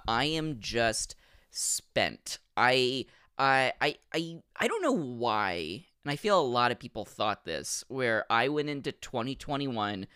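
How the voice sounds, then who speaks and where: A man in his thirties co-hosting a podcast, chatting casually, animated and laughing, often restarting his sentences. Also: medium pitch, smooth, no room sound, studio quality. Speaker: podcast co-host. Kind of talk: casual chat.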